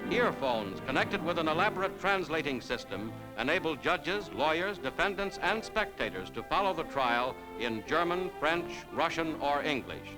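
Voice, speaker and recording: A man's voice: fairly high pitch, animated, moderate pace, few pauses, over loud music, rough recording. A man delivering dramatic, emphatic scripted narration on a 1940s newsreel soundtrack.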